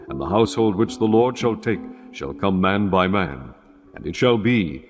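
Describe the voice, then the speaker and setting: A man narrating scripture in a measured, solemn, formal way. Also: lowish voice.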